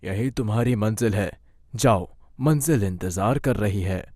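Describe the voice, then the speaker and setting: A man voicing a mysterious, fatherly rickshaw driver in a cartoon, calm and reassuring. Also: lowish voice, a little slow, dry recording, studio quality. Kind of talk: Hindi moral-story cartoon dub.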